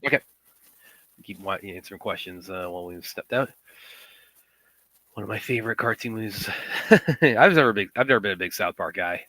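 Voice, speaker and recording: A man's voice: fairly low pitch, fairly quick, long pauses, bright, forceful tone, no room sound. A young man co-hosting a live stream, rambling with many fillers and restarts, sniffling from a runny nose. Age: young adult. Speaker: co-host. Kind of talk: casual live stream chat. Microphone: computer headset mic with sound trouble.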